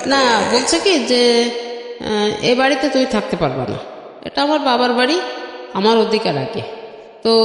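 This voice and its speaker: A middle-aged woman from Bangladesh telling her personal story as an interview guest, haltingly, restarting and repeating phrases. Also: on the high side. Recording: very echoey, long reverb.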